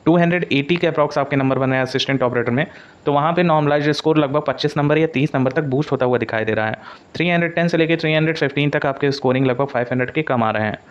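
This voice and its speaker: A man giving an informal explanatory update as a coaching presenter, mixing in English words and repeating points for emphasis. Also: on the high side. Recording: dull, lo-fi audio.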